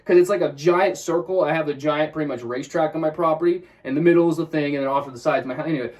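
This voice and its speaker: A man with a high-pitched voice from Washington State, telling a story casually and agitatedly, swearing often and acting out what he said. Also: steady tone, fast, darkish tone, distant mic. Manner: agitated, swears often, acts out his own quotes. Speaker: vlogger.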